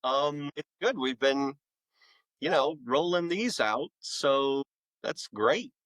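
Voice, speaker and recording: A man with a mid-range voice chats as a guest author on a podcast. He speaks informally and haltingly, wandering from one thought to the next. The sound is dry, with very little room in it. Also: medium pitch, expressive, fairly bright tone, no room sound. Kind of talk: casual conversational interview.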